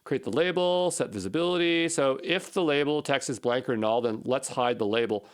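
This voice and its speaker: A man's voice: medium pitch, moderate pace, smooth. A man giving an unscripted coding walkthrough as an instructor, thinking aloud and muttering short repeated phrases as he puzzles things through. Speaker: programming instructor.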